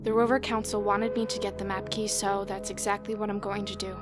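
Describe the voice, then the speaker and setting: A woman's voice: mid-range voice, little pitch movement, lightly acted. An actress playing an emotional, determined woman who survives an apocalypse, in an audio drama. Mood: resolute. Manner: emotional, halting in grief, firm when resolved. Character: Leah, grief-stricken but determined woman survivor of an apocalypse. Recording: music behind the voice.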